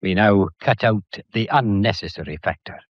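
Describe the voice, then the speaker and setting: An actor playing a shrewd, methodical police detective in a British period mystery drama, courteous and lecturing, with a faintly theatrical delivery. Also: male voice, lowish voice, moderate pace, no echo, muffled recording, lightly acted. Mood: measured, lecturing. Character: shrewd, methodical male police detective laying out a murder case.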